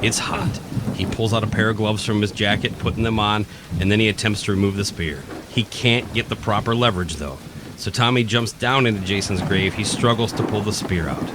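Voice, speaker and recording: This is a man with a fairly deep voice reading scripted narration for a horror radio play. He tells it dramatically, over very loud ambient noise. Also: fairly quick. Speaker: narrator and podcast host.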